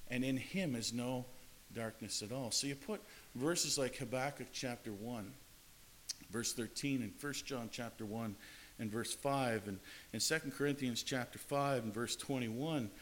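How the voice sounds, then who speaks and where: A man's voice: mid-range voice, measured pace, a little hiss or hum, low-quality recording. A man preaching a sermon in an earnest way, often repeating and restarting his words. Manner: earnest, repetitive phrasing with frequent restarts.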